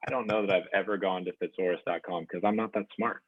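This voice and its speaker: A man's voice: low-pitched, steady tone, fast. A male host who jokes and pokes fun at himself while chatting with guests on a video call. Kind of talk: casual video-call chat. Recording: phone-like video call audio.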